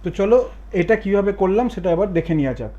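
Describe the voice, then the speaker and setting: A man hosting a step-by-step software tutorial in voice-over. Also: high voice, lively intonation, quick pace, lo-fi sound. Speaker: tutorial host.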